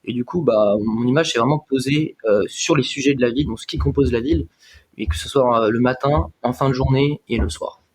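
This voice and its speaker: A man's voice: medium pitch, fairly quick, a little mellow. A man from the Paris region speaking as an interview guest, reflective and full of anecdotes about his photography. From Paris region, France. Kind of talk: casual interview chat.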